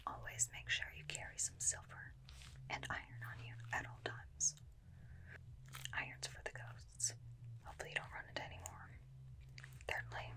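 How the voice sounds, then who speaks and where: A woman playing a bossy, teasing, protective vampire slayer in an ASMR roleplay, whispering intimately into a close binaural mic with a breathy, creaky edge. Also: lightly acted, low-quality recording. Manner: intimate, slow questioning, dryly teasing. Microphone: close binaural microphone.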